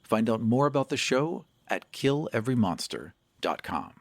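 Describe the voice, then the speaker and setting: A man's voice: medium pitch, very animated, normal speed, dry recording. A man reading scripted announcements as a podcast host.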